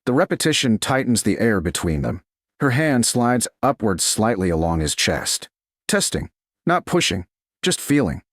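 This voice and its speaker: A man narrating scripted romance fiction slowly and dramatically, in measured, deliberate phrases. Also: on the low side, big pitch swings, fairly bright tone, no echo, clean studio sound.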